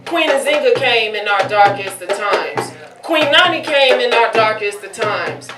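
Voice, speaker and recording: A woman's voice: mid-range voice, animated, a little slow. A woman performing rhythmic spoken word on stage, declaiming in a rhyming, sing-song way. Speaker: performance poet. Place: performance at a marketplace, far from the mic. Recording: distant echoey stage recording with loud music. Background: loud music.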